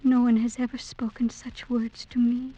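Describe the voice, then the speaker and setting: An actress playing a gentle young woman in love, soft and tender in mid-century radio drama style. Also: on the high side, level tone, moderate pace, breathy edge. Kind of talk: 1940s-50s radio drama acting. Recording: dull vintage radio recording.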